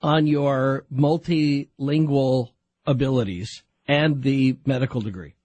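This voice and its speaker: A man's voice: medium pitch, slow pace, darkish tone, dry recording. A man hosting a radio call-in show, guiding callers with frequent stammering restarts. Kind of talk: casual call-in chat.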